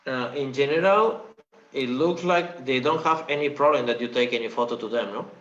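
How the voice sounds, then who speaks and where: A man's voice: mid-range voice, moderate pace, fairly bright tone. A man interviewing a guest, mostly with brief acknowledgements and short questions. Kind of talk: live online interview. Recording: roomy, dull lo-fi sound.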